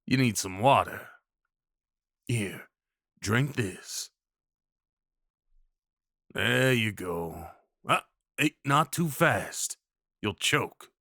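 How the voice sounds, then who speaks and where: Audio roleplay: male actor as rugged, kind Old West cowboy, folksy drawl, unhurried, clear-edged bright tone. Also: on the low side, lively intonation, no room sound, studio quality, exaggerated voice. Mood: soothing. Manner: folksy drawl, gentle and reassuring. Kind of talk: roleplay audio drama acting.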